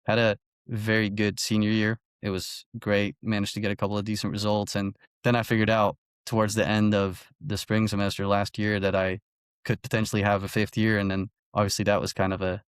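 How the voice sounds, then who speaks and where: A man's voice: fairly low pitch, monotone, a little fast, clear, resonant tone, no echo, studio quality. A man in his early twenties from Belgium, an athlete telling his story casually as an interview guest. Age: early 20s. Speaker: college golfer, interview guest.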